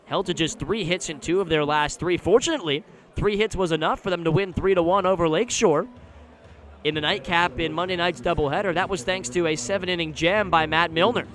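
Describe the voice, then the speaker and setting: A man doing live radio play-by-play commentary of a baseball game, describing the action in a measured way that rises when the play picks up. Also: a little high, a bit bright. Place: live at an outdoor ballpark.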